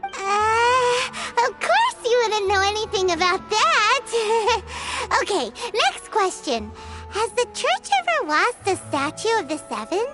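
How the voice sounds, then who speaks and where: A woman voicing a tiny, excitable, cheeky fairy companion in an anime-style game, with a squeaky childlike voice and fussy, exclamatory delivery. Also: slow pace, heavily acted. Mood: flustered. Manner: exclamatory, speaks of herself in third person. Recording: loud jingly game music behind.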